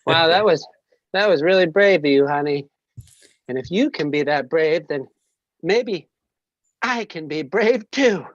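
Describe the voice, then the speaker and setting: A performer playing an anxious, excitable animal in an animated comedy table read, swinging from meek to frantic. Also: medium pitch, a little slow, long pauses, natural voice. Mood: meek, sincere. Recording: roomy, slightly dull sound.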